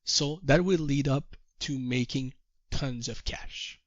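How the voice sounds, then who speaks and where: A man with a French Canadian accent, a native French speaker, presenting to a group call in halting English and telling his story with repeated refrains. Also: medium pitch, no room sound, dull audio. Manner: self-deprecating, halting English. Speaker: trading course presenter.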